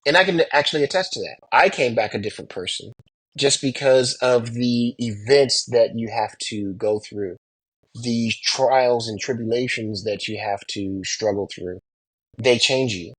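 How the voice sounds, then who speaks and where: A man's voice: medium pitch, normal speed, a bit bright, husky, no room sound, poor audio. A middle-aged American man telling anecdotes from his own life, speaking emphatically with repeated phrases and rhetorical questions. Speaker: military veteran and pilot sharing experiences. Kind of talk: anecdotal storytelling about personal experience.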